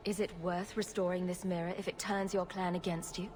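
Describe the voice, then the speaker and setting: A woman's voice: lowish voice, little pitch movement, a little fast, close to an everyday voice. A woman playing a wry, direct rogue adventurer in a video game cutscene, with a sardonic way of talking. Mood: questioning. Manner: sarcastic, direct. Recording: noisy game ambience behind.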